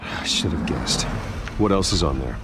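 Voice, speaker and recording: An actor playing a brooding, guarded billionaire vigilante in a video game, speaking in a terse, controlled way. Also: male voice, rumbling low, lively intonation, normal speed, very noisy. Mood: grim, focused. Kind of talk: dramatic video game acting.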